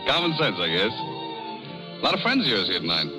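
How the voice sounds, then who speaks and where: An actor playing a plainspoken, self-deprecating gambler, speaking informally in an old-time radio drama. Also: male voice, on the low side, huge pitch swings, a little fast, over music. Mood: modest, concerned. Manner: laconic, informal.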